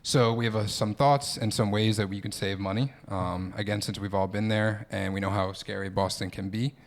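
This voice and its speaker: A man's voice: on the low side, moderate pace. A young man from Philadelphia hosting a student podcast, talking casually with many "um"s and "like"s. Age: young adult. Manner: relaxed, with frequent "um" and "like". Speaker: podcast host, optometry student.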